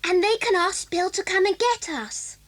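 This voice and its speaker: A woman's voice: very high-pitched, normal speed, fairly bright tone. A woman voicing an anxious, innocent little girl, timid and questioning, in a children's audio drama.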